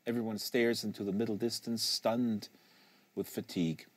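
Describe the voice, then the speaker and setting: A man giving a live, measured and expressive reading of a literary novel as the narrator. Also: on the low side, husky. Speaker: audiobook reader and livestream host. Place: small sound-treated studio. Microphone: close studio microphone.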